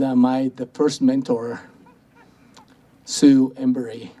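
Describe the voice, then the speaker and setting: A man from Japan with a Japanese accent, speaking informally to an audience in halting, non-native English. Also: mid-range voice, warm tone. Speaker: singer introducing a song. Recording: live event, faint ambient noise. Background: faint ambient noise.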